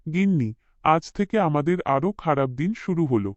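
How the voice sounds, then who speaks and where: A man's voice: a little high, animated, a little fast, dry recording, lightly acted. A man voicing a desperate poor working father in a cartoon, deferential and sorrowful, in a dull recording. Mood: weary, sorrowful. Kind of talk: cartoon voice acting.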